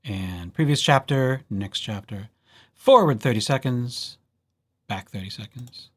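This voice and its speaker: A man presenting a casual software tutorial as a library host, with playful, wry asides. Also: medium pitch, animated, a little slow. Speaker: library presenter hosting a digital services tutorial.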